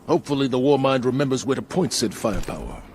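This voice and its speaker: An actor playing a stern, solemn veteran military commander in a video game, speaking in a grave, measured, formal way. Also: male voice, medium pitch, animated, darkish tone, a little hiss or hum, natural voice. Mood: wary. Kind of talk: scripted video game cutscene acting. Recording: dramatic music behind the voice.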